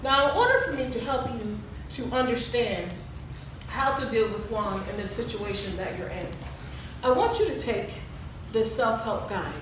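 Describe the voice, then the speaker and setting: Woman acting a measured professional counsellor, stage play, highish voice, far from the mic. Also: expressive, lightly acted. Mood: composed, instructive. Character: composed, instructive woman relationship counsellor. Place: large echoey indoor stage venue. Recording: very echoey, distant, background noise.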